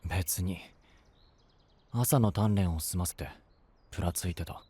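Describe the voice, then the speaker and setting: An actor playing a brusque, guarded young knight with a curt manner in an anime-style audio drama. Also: male voice, deep voice, slow, dark tone, no echo, full character voice. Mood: pensive, curt. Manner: curt, gruff, reluctant.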